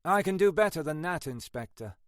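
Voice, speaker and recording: A man's voice: fairly high pitch, animated, brisk, no room sound, studio quality, voice pushed up, close to an everyday voice. A man voicing a cocky young tech expert in his twenties with a posh English accent. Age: 20s. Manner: cocky, self-assured.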